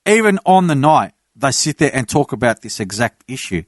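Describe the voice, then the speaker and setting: A man's voice: medium pitch, very animated, normal speed, dry recording, clean studio sound. A man on a casual podcast, bluntly explaining his view at length. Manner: blunt, explains at length with "you know what I mean". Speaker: podcast panelist. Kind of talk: casual chat.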